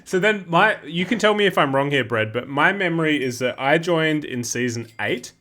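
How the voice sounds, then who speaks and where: A man's voice: a little high, expressive, moderate pace, pushed and bright. Upbeat man hosting a gaming interview. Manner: upbeat, laughs often. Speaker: host. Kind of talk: gaming video narration and interview.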